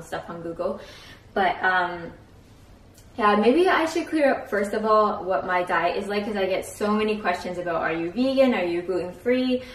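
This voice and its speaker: A woman's voice: lowish voice, slight background noise, rough recording. A young woman talking to a handheld camera in a casual vlog, chatty and enthusiastic with frequent fillers. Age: young adult. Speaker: vlogger. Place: small home kitchen, indoors. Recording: music bed underneath. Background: background music.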